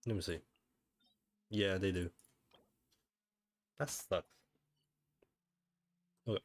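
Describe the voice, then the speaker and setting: A man with a low voice streams a video game. He chats casually, half to himself, in an offhand way. He often repeats his own phrases as he goes. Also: drawn-out words, dry recording. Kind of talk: casual gameplay commentary.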